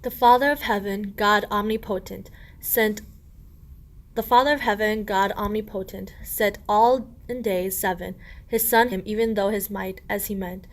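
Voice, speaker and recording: A woman's voice: mid-range voice, steady tone, normal speed, natural voice. A woman playing a solemn, benevolent angel, proclaiming in rhymed verse for a radio play. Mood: solemn blessing.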